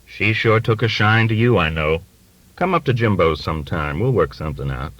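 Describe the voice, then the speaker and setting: Man performing a plump middle-aged sweet-talker, audiobook character, folksy, ingratiating, teasing, bright and forceful, close dry sound. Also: deep voice, lively intonation, dry recording, dull audio. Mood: sly, teasing. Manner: folksy, ingratiating, drawn-out cajoling.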